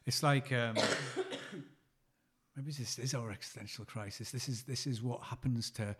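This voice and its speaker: Man, medium pitch, podcast co-host, casual chat, informal, teasing. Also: measured pace, a bit bright, husky.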